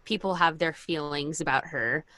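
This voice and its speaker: A woman's voice: low voice, moderate pace, pushed and bright, dry recording. A woman co-hosting a podcast in casual chat, speaking informally and with enthusiasm. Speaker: podcast co-host.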